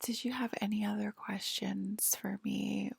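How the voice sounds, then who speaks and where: A woman doing a soft-spoken, whispery ASMR role-play as a calm alien guide, talking haltingly and matter-of-factly close to the mic. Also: mid-range voice, no room sound. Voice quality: breathy, whispery. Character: calm alien abductor guiding a patient aboard a UFO.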